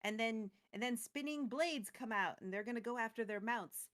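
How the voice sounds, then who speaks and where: A woman's voice: a little high, moderate pace, fairly bright tone. A woman co-hosting a casual recap of a fantasy story, telling it playfully and acting out bits as she goes. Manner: playful, acts out quotes and sound words. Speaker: co-host recapping a fantasy card game story.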